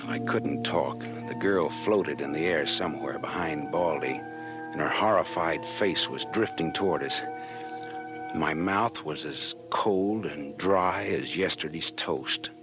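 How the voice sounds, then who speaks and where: An actor playing a hardboiled, wisecracking American private detective in 1940s radio noir style, wry, sardonic and slangy. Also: male voice, a bass voice, a little slow, over music. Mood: tense, brooding. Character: hardboiled, wisecracking male private detective narrating his case. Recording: dull, lo-fi 1940s radio recording.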